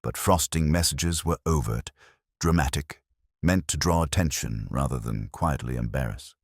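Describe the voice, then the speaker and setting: Very deep, husky male story narrator. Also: normal speed, dry recording, studio quality. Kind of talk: scripted mystery story narration.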